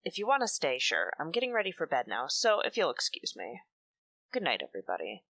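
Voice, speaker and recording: Blunt, creaky-voiced resentful sister played by a woman. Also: sits low, wildly swooping pitch, a little fast, bright, forceful tone, dry recording, heavily acted. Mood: cool, curt. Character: resentful, blunt young woman scolding her married sister. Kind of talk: radio soap drama acting.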